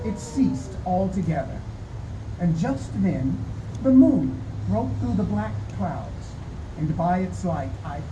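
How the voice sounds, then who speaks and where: A young English traveller, anxious and earnest, played by a woman narrating his own journey with dramatic build. The voice is mid-pitched, with echo around it and constant wind noise audible behind the outdoor stage recording. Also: sing-song, slow pace. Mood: tense. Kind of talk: live community theatre radio play.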